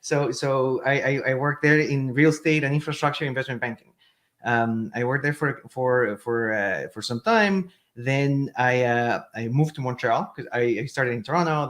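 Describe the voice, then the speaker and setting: A man in his thirties from Chile with a Chilean accent, a guest telling his career story in a halting way, often checking in with "right?". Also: medium pitch, fairly bright tone. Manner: halting, many restarts and "right?". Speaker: podcast guest, company CEO. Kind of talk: casual interview.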